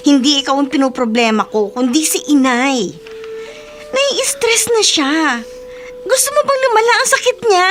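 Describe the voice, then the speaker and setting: An actress playing an exasperated, concerned young Filipino sister, scolding and pleading in radio drama style. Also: female voice, high-pitched, animated, moderate pace, fairly bright tone. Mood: worried scolding. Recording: faint music behind.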